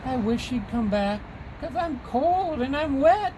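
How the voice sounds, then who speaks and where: A man's voice: extremely high pitch, expressive, unhurried, voice pushed up. A man as a big earnest elephant, noisy recording. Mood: grumbling. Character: huge, gentle, faithful male elephant, earnest and long-suffering.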